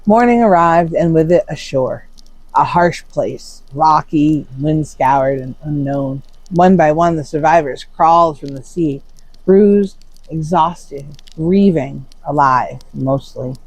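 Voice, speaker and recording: A storyteller narrates a Norse saga in a solemn, theatrical manner. The pitch sits in a middle register, and pauses are placed deliberately to build suspense. The recording is dry and close, with no room sound around the voice. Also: darkish tone, no echo, low-quality recording.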